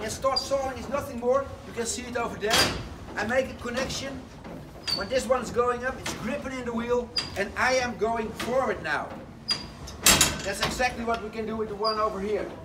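A man, telling a tour group a lively story inside a large wooden sawmill. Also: very high voice. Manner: lively storytelling, slightly broken English phrasing. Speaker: windmill guide. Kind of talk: guided tour talk. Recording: distant voice with noisy background.

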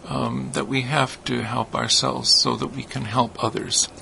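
A man with a gravelly, bright edge to his voice explains ideas patiently, with a few fillers. His voice is crisp and forward, and a faint noise sits behind it. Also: medium pitch, level tone, unhurried. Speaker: guest, English speaker. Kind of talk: casual explanatory conversation.

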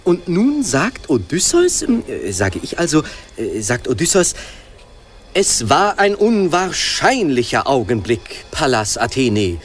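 An actor playing a gushing, brash charmer with an effusive, halting manner in a radio play. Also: male voice, fairly high pitch, wildly swooping pitch. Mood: hesitant, then dreamy recital. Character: gushing, flattering, brash showman courting a nymph. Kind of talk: German radio play acting.

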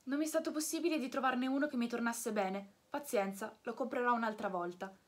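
An eager wooden puppet boy , put on by a woman reading aloud, speaks in a high, breathy voice. He rushes from one question to the next, full of warm-hearted urgency, as in a storybook. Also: lightly acted.

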